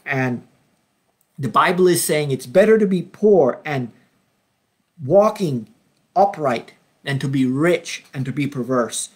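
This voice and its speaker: A man's voice: a little high, lively intonation, slightly rough. Earnest man from Belize in his 50s. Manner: earnest, unhurried, frequent ums and restarts, slows when reading verses. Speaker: Bible study leader. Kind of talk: informal Bible study talk. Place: home study with bookshelves. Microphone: webcam, video call framing.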